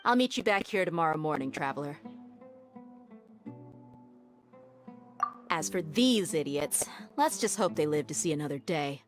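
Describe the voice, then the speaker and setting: A woman's voice: low-pitched, expressive, a little fast, music in the background. A woman playing a tough, laid-back young desert mercenary in a video game, speaking in a casual, frank, self-assured way. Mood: brisk, confident.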